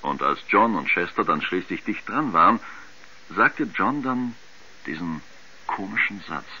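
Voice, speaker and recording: Male astronaut, seventies radio drama, deliberate recounting, very sharp tone, dull recording. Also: low voice, lively intonation, husky, heavily acted. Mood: matter-of-fact, puzzled. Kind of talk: 1970s German radio drama acting. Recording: dull, faintly noisy 1970s radio recording.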